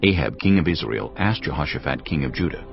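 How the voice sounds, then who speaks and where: Very deep, gravelly male narrator. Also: lively intonation, fairly quick. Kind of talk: scripted audio Bible narration. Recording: dull lo-fi audio over music.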